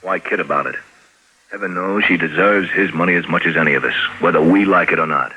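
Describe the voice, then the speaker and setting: An actor playing a cynical, cultured nephew in a 1950s radio drama, speaking in a dry, formal, worldly way. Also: male voice, low-pitched, quick pace, sharp tone, husky, heavily acted. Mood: frank. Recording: noisy vintage 1950s radio recording.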